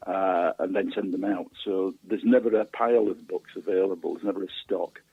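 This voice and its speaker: Low husky man on a phone-like line. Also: fairly even intonation, fairly quick, no room sound. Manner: hesitant, stammers over repeated words. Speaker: guest author. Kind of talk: casual interview chat.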